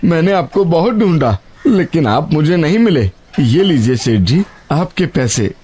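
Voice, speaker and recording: An actor voicing a humble, honest poor labourer in an animated moral story, speaking in an earnest, deferential and emotive way. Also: male voice, on the high side, a little slow, husky, heavily acted. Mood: earnest, pleading.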